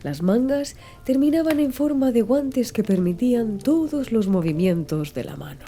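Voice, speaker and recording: A woman's voice: a little high, lively intonation, moderate pace, mellow, soft background music. A woman reading a scripted audiobook narration.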